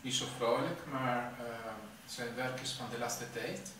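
A middle-aged man, an artist answering interview questions in a halting way, searching for words. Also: medium pitch, little pitch movement. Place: indoor art gallery with bare floor. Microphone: camera a few metres away. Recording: echoey room, distant mic, clear background noise.

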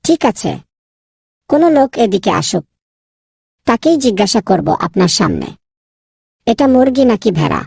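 Woman playing a husky, creaky-voiced naive young man. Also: mid-range voice, sing-song, normal speed, long pauses, darkish tone, dry recording, clean studio sound, exaggerated voice. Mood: exasperated. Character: naive, proud, easily exasperated young scholar son. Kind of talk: cartoon dubbing.

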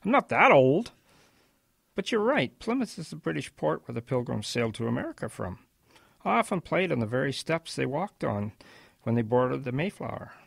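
A husky, creaky old grandfather reminisces in a radio drama. His pitch swings in lively, gentle storytelling, and the recording is close and dry. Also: normal speed, no echo, full character voice. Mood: animated reminiscing. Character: kindly old grandfather reminiscing about wartime.

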